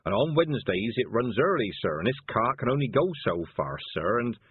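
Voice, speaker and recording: A man voicing a humble male cart driver who keeps deferring politely, with a husky voice. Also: medium pitch, lively intonation, a little fast, no echo. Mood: deferential. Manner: deferential, keeps saying sir. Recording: dry tinny phone-like sound.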